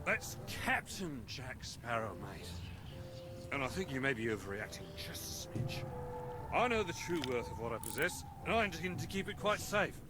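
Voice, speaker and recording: Male actor as pirate rogue, witty, rambling, theatrical, animated intonation, game character, music underneath. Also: mid-range voice, moderate pace, close to an everyday voice. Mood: breezy, placating. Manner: theatrical, flowery, sly phrasing. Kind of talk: video game character acting.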